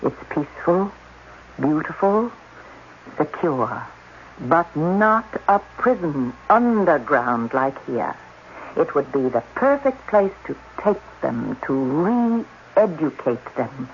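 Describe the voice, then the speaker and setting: A man's voice: fairly high pitch, big pitch swings, slow pace, exaggerated voice. A man playing a cunning, impassioned scientist in a radio drama, speaking with intense, persuasive insistence. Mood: quietly coercive. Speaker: actor. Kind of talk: 1980s radio drama acting. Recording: dull, noisy old radio recording.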